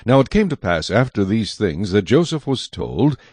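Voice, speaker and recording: Low-voiced man narrating scripture, lively intonation. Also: breathy edge, no echo. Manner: measured, dramatic storytelling.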